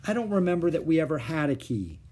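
A man voicing a stern, practical mother who scolds her children firmly, in a husky voice. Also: a little high, sing-song, quick pace, dark tone, pitched above the performer's own voice. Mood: puzzled. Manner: firm and scolding.

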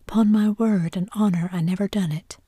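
A woman's voice: mid-range voice, fairly even intonation, normal speed, dark tone, slightly rough, dry recording, clean studio sound, natural voice. A woman voicing a frightened, broken man accused of murder, pleading and sobbing in a whisper in a storybook reading. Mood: pleading, despairing.

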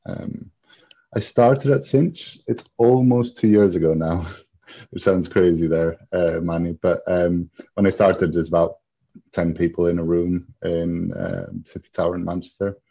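A man from Greece answering as an interview guest, speaking in a halting, thoughtful, reflective way. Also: low voice, fairly even intonation, gravelly, warm tone. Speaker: interview guest, software engineer. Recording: dull, muffled lo-fi audio.

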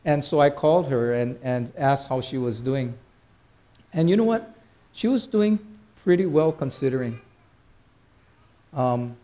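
A middle-aged man preaches to his congregation in a loose, conversational way, pausing and restarting his sentences often. His voice is mellow and relaxed, sitting at an ordinary pitch for a man. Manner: halting, conversational, many restarts and fillers. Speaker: pastor. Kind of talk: informal sermon.

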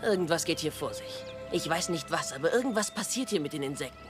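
A man's voice: on the high side, expressive, quick pace, lightly acted. Guy doing teen boy outsider, nerdy n guarded, tv drama dub in standard german, music underneath. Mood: earnest, resigned. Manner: wary, defensive. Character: sixteen-year-old boy, wary, nerdy insect-loving outsider. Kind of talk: dubbed TV drama dialogue.

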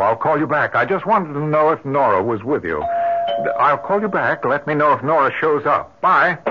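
An actor playing a grief-stricken, haunted father in old-time radio drama, halting and intense on a dull vintage recording. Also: mid-range voice, close to an everyday voice. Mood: distraught. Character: grief-stricken, haunted father convinced his dead daughter calls.

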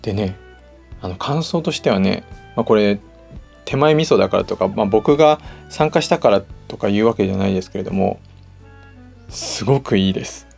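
Man with a creaky voice hosting casually. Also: lowish voice, lively intonation, a little fast, a little mellow, soft background music. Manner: polite, conversational. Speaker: podcast host. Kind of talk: casual solo talk.

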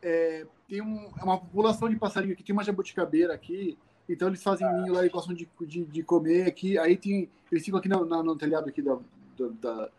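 A man from the Campinas area of Brazil, telling childhood stories in a casual, animated way on a live call, laughing often. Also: high-pitched, fast talker, rough recording. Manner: animated, laughing, many restarts. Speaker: guest. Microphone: phone on a live stream.

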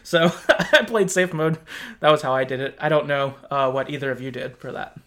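A man's voice: medium pitch, moderate pace, fairly bright tone. A man hosting a casual podcast discussion of video games, explaining things in a hesitant, self-deprecating way. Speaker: podcast host.

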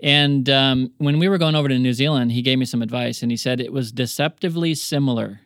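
A man's voice: mid-range voice, fast talker, no echo, studio quality. An American man hosting a podcast interview, chatting casually and joking often. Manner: jokey, laughs often, easygoing. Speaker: podcast host.

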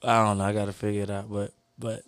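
A man's voice: lowish voice, level tone, fast, clean tone, dry recording. A man co-hosting a podcast, chatting casually about his music in a rambling way, often trailing off and restarting. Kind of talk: casual chat.

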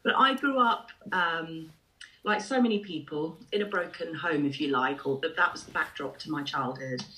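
Expressive English-accented woman in her forties. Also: sits low, moderate pace, low-quality recording. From Ireland. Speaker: podcast guest. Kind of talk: warm reflective conversation.

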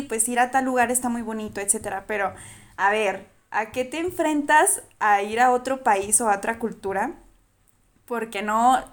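Lively, joking Mexican woman guest. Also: fairly high pitch. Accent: Mexican Spanish. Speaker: guest, former student of the host. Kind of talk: casual chat.

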